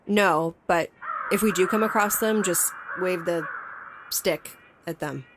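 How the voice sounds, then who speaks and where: A woman's voice: lowish voice, unhurried, noisy background, lightly acted. An actress playing a guarded, anxious woman field agent who narrates her story in an audio drama. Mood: brisk, firm. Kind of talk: audio drama acting with first-person narration. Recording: music under the voice.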